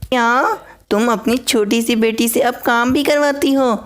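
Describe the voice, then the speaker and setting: A nosy, scolding bulbul from a cartoon fable, played by a woman, speaks in a raised, clear voice. She is blunt and given to exclamations. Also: a little high, steady tone, a little slow, pitched above the performer's own voice, natural voice.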